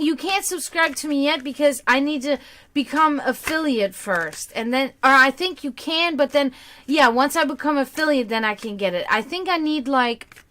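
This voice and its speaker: Chatty woman streamer, laughs a lot. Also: sits high. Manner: rambling, warm-hearted, laughs often. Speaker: streamer giving tarot readings. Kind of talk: casual livestream chat.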